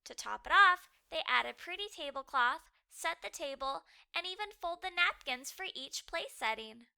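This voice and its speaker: A woman reading a children's story aloud as a storyteller, with expressive, emphatic delivery. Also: high voice, normal speed, vocal fry. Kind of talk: scripted storybook narration.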